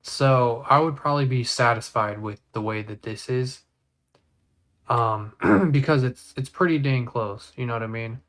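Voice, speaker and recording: A man hosting a casual software tutorial, thinking aloud step by step as he works. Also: medium pitch, a little slow. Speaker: tutorial host.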